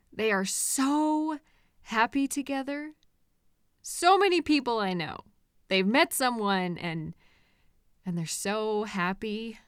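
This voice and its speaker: Woman acting a slow-talking, obsessive female kidnapper. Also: medium pitch, fairly bright tone, clean tone, no echo, clean studio sound. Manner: slow, coaxing, patient, condescending, hesitant pauses. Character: obsessive, possessive female kidnapper, soothing and delusional. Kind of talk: intimate roleplay audio drama monologue.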